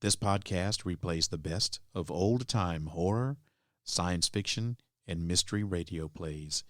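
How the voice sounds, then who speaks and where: Low deep guy hosting an old radio horror podcast, scripted narration, dry close sound. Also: a little slow, fairly bright tone, dry recording. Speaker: podcast host.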